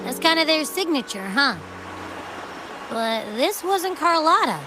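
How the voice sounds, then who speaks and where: High, bouncy, cheeky game sidekick. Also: female voice, very animated, unhurried, very noisy. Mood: curious, musing. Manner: playful, casual, musing. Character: cheeky, easygoing young female sidekick in a fantasy game. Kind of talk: animated video game voice acting.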